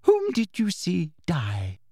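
A man voicing a smug, sickly-sweet older woman school official who speaks slowly and over-enunciates. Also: high voice, very animated, no echo, studio quality, voice pushed up, exaggerated voice. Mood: condescending. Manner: ringing, loud, slow, over-enunciated delivery.

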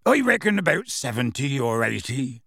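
A huge, gruff half-giant gamekeeper tells his tale. The voice is husky and rough, swinging freely in pitch, with folksy, unhurried phrasing. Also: very animated, fairly bright tone, dry recording, studio quality, full character voice. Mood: matter-of-fact. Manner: folksy, ungrammatical, unhurried storytelling. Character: huge gruff half-giant gamekeeper, rustic, warm-hearted, plain-spoken. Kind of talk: audiobook character voice.

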